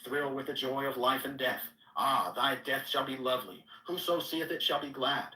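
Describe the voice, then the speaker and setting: A man's voice: mid-range voice, steady tone, moderate pace, sharp tone. A man giving a solemn, declamatory reading of archaic mystical scripture. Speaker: reader. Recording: echoey room, distant mic.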